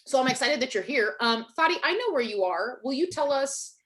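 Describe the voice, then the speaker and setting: A woman's voice: sits high, lively intonation, a little fast, fairly bright tone. A woman, hosting a casual video-call webinar, chatty and self-deprecating, with lots of likes. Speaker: webinar host, Spanish teacher.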